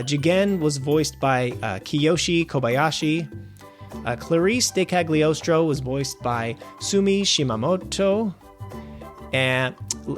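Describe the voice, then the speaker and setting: A man hosting a film review show, reading a scripted review with wry, animated asides. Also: on the high side. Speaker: film review podcast host.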